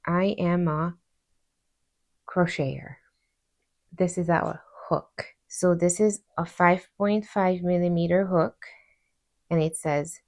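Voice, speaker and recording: A woman's voice: fairly low pitch, a little mellow. A woman with a Hungarian accent, hosting a crochet tutorial in a chatty, friendly way with playful asides. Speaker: crochet teacher, channel host. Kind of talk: casual tutorial explanation.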